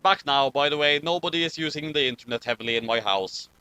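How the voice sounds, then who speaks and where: A man's voice: a little high, quick pace, loud music behind the voice. A man reading fan fiction aloud and chatting about it over an online voice call. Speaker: guest reader of fan fiction.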